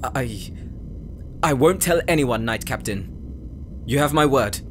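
A man playing a nerdy, excitable young forge master in a fantasy audio drama, eager, fussy and easily flustered. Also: medium pitch, takes it slowly, slight background noise. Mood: awed, sincere. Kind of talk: anime fantasy audio roleplay acting.

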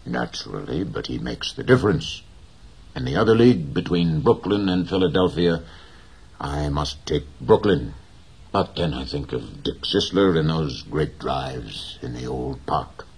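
A man voicing a poor but proud, gentle old fisherman who speaks plainly and slowly. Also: rumbling low, expressive, gravelly, slightly rough, full character voice. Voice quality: slow, plain, dignified speech.

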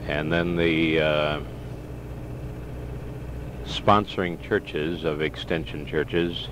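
A man dictating a letter in halting short phrases, speaking punctuation aloud. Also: extremely low pitch. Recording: noisy tape with loud hum.

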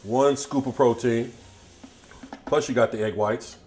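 A man's voice: mid-range voice, steady tone, unhurried, a little mellow, a little hiss or hum. A middle-aged man hosting a casual cooking demonstration in a home kitchen, chatting easily while he works. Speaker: cooking show host.

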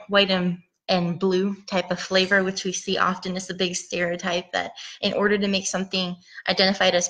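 Woman, 30s, from Puerto Rico, talkative presenter on a headset call, flat low voice with a bright, gravelly edge. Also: low-quality recording. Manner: chatty, rambling with ums. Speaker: guest speaker, game designer. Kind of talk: casual presentation talk. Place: home room with bookshelf. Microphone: headset mic on video call.

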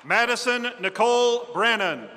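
A man reading graduate names at a podium microphone in a large indoor arena, slow and measured. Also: very high-pitched, animated, pushed and bright, some chatter behind, a little hiss or hum. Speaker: name reader at graduation. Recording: echoey arena with faint ambience.

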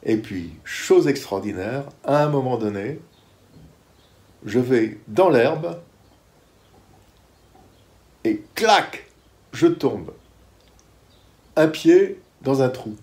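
A man in his sixties recalling his years as a war cameraman in a casual, lively storytelling way, talking at home in his living room. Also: mid-range voice. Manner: lively storytelling, quick bursts. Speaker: former war cameraman recounting. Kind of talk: casual spoken recollection.

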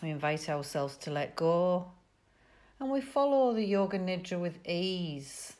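Very slow, low-pitched woman guiding relaxation. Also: expressive, darkish tone. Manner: soothing, unhurried instruction with pauses. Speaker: yoga nidra teacher. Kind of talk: guided relaxation meditation.

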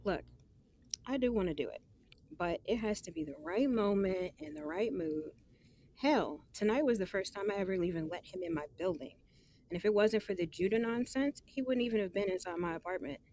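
A woman's voice: mid-range voice, lively intonation, brisk, warm tone, slight background noise, lightly acted. An actress playing a guarded but smitten young woman, chatting in sassy, slangy style with her best friend in an audio drama. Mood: defensive. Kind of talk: casual conversational audio drama.

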